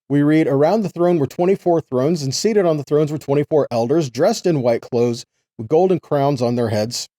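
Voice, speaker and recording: Mellow-voiced man preaching from scripture. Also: a little high, no echo, clean studio sound. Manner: earnest, rhetorical questions to listeners. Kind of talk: sermon, Bible teaching with scripture readings.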